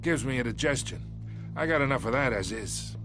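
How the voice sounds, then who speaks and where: An actor playing a menacing middle-aged crime boss in a video game, talking folksy gangster slang with a threatening edge. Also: male voice, medium pitch, animated, brisk, music in the background, natural voice. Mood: calculating, persuasive. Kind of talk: video game dramatic acting.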